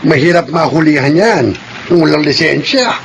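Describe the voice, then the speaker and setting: An actor playing a jolly but cautious sidekick of a street bully in a Filipino-accented radio drama. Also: male voice, on the high side, animated, moderate pace, creaky, slight background noise. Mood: excited, cautioning. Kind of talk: Philippine radio drama acting.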